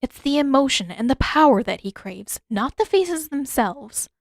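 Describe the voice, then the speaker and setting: An older village mother, as a woman reader plays her, firm and shrewd, in a dry, close recording. She speaks in a measured, matter-of-fact way at a somewhat raised pitch, never giving ground in this storybook drama. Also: expressive, creaky, no echo.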